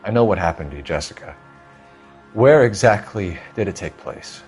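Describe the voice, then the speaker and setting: A man voicing an earnest, probing police detective in a video game, measured, formal and pressing. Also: low voice, animated, a little mellow, breathy edge, faint music behind. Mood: stern, accusing. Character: earnest, probing male police detective, stern but controlled.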